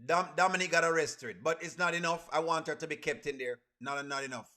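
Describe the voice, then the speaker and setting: Bright, high-voiced Caribbean man chatting. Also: fast. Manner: repeats phrases emphatically. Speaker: livestream host. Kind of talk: casual reaction livestream, chatting with viewers.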